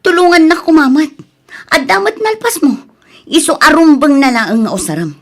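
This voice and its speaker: High-voiced, overburdened Ilocano daughter played by an actress. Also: female voice, expressive, normal speed, a bit bright. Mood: exasperated scolding. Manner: exasperated, reproachful. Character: overburdened, frustrated dutiful daughter and elder sister, about thirty. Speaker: drama actress. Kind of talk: Ilocano audio drama acting.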